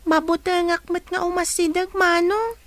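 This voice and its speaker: A woman's voice: extremely high pitch, fairly even intonation, moderate pace, fairly bright tone. A woman playing a curious, trusting young village child in a radio drama, childlike and inquisitive. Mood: curious, hungry. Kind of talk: Ilocano radio drama acting.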